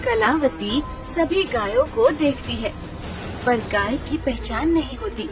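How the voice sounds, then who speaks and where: A woman's voice: high voice, animated, slow. A woman reading a scripted children's story as the narrator. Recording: music under the voice.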